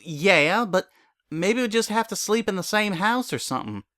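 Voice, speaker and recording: A man's voice: high-pitched, animated, normal speed, pushed and bright, no room sound, clean studio sound, voice pushed up. A man voicing a stubborn, flustered country mare with a folksy, plain-spoken manner in a story reading. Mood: reluctant. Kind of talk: dramatic fan fiction reading.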